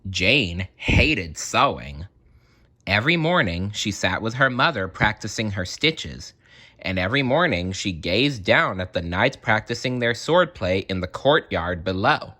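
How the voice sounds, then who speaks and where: A man reading a children's story aloud in an expressive, deliberate way, with casual, chatty asides. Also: lowish voice. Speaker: storybook reader.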